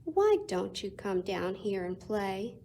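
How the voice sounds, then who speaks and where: A woman voicing friendly, eager storybook animals who coax a shy friend, loud, cheery and encouraging. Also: mid-range voice, very animated, slow pace, vocal fry, slight background noise, voice pushed down, exaggerated voice. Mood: cheery, inviting.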